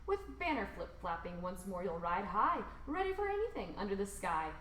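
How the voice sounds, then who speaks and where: A woman reads a rhyming children's picture book aloud expressively, with theatrical emphasis and drawn-out words. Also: mid-range voice, very animated, fairly bright tone, roomy sound, slight background noise. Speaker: storybook reader.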